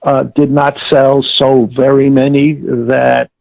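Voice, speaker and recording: A man answering interview questions as a producer, measured and wry, searching for his words. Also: medium pitch, completely flat delivery, creaky, dry recording. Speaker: audiobook producer being interviewed. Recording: tinny, dry phone line.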